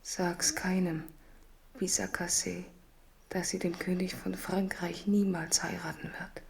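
A woman's voice: lowish voice, level tone, unhurried, gravelly. An actress in a German radio drama playing a king's despairing, fearful mistress, intense and often whispering. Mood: hushed dread. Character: despairing, fearful royal mistress foreseeing her own death.

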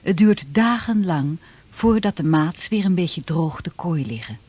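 A husky male voice, set a little high, narrates a radio play. The delivery is scripted and factual, like a documentary account. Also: lively intonation, a little slow, darkish tone.

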